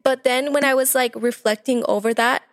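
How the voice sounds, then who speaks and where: A young woman hosting a casual self-help podcast, her voice slightly raspy from allergies, chatting with lots of filler words. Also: high-pitched, fairly even intonation, a little fast. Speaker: podcast host.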